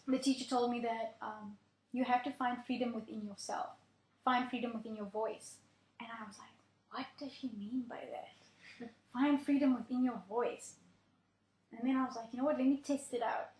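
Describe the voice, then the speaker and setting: Earnest young woman singer, slightly high voice. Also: fairly even intonation, moderate pace, roomy sound, a little hiss or hum, poor audio. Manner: earnest, storytelling, filler-heavy. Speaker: singer, guest. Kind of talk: casual interview talk.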